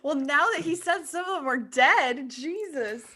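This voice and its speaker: A woman's voice: high-pitched, expressive, unhurried, sharp tone. A woman co-hosting a podcast, chatting casually in an earnest, matter-of-fact way. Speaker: podcast co-host. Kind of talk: casual chat.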